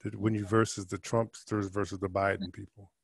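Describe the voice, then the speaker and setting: A man's voice: on the low side, flat delivery, a little fast, creaky, breathy edge, no room sound, studio quality. A man co-hosting an interview, asking long, loosely built questions.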